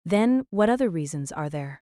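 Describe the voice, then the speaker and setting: A woman voicing a calm, level-headed schoolgirl who reasons things out. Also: fairly low pitch, moderate pace, dry recording, clean studio sound, lightly acted.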